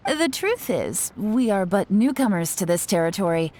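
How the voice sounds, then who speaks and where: An actress playing a poised, shrewd young woman investigator in a video game, measured and slightly theatrical, with courteous phrasing. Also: medium pitch, big pitch swings, darkish tone, close to an everyday voice. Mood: mock-formal, courteous. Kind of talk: scripted video game voice acting.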